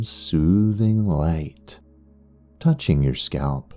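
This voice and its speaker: Male meditation guide: deep, extremely slow and soothing, with long pauses between phrases. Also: mellow. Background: background music.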